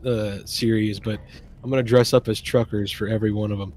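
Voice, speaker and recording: A man's voice: lowish voice, steady tone, brisk, darkish tone. A man chatting casually on a stream, rambling enthusiastically and often trailing off and restarting.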